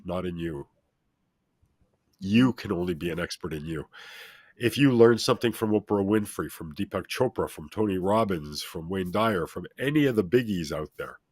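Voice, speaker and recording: A man with a very low, authoritative voice holds forth as a self-help guest. He addresses listeners directly and instructively, with emphasis on his points. The sound is dry, with little trace of a room. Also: dry recording. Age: middle-aged or older. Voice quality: deep, authoritative voice. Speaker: talk show guest, self-help speaker. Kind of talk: motivational interview talk, speaking at length.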